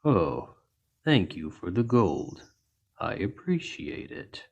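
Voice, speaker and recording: A big orc healer acted out by a man speaks in a deep voice at a slow, measured pace. He is gentle and plain-spoken, with an earnest, reassuring manner. The delivery is that of a soothing fantasy ASMR roleplay, told to someone in his care. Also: big pitch swings, gravelly, slight background noise, full character voice. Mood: kindly, calm. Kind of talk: ASMR roleplay, soft storytelling to an injured listener.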